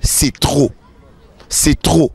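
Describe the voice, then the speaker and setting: A man's voice: very slow, darkish tone, dry recording, a faint crowd. A middle-aged man from Gabon, emphatic and stammering on repeated words, speaking into a handheld mic on a city street at night. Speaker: protester interviewee. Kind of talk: street interview statement. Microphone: handheld reporter mic.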